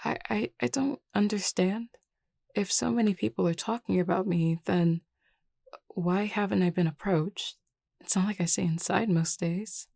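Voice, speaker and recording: A shy, awkward vampire leader voiced by a woman in an audio roleplay. She is polite and apologetic, stammering and hesitating in a mellow voice, recorded dry. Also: medium pitch, normal speed, gravelly, no echo. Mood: confused, uneasy. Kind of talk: scripted audio roleplay acting.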